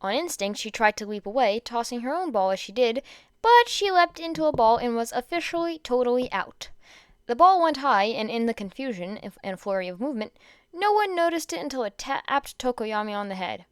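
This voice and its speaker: A woman reading fan fiction aloud in an expressive storytelling style, with a clear voice. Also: a little high, fairly quick, no echo, clean studio sound.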